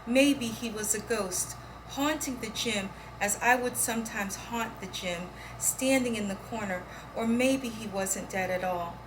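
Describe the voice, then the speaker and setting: Female poetry reader, fairly high voice, clear background noise on the recording. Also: a little slow. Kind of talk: poetry reading aloud.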